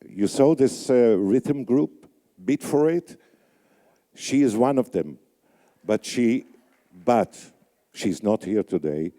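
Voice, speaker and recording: Slow, deep-sounding older man. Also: expressive, dark tone. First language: Yiddish. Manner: deliberate, halting with fillers. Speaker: radio announcer hosting a conference. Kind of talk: emcee remarks on stage. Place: large conference hall. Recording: reverberant hall.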